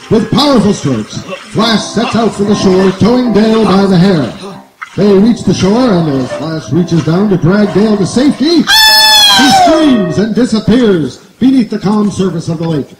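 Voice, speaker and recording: A man announcing and narrating in a declamatory old-time radio serial style. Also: sits high. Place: outdoor night stage, distant camera. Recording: echoey outdoor stage with music.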